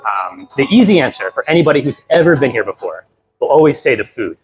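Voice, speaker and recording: A young American man, a guest talking about his move abroad in a lively, upbeat way with lots of fillers. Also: medium pitch, fast, low-quality recording. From United States. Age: young adult. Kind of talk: casual interview.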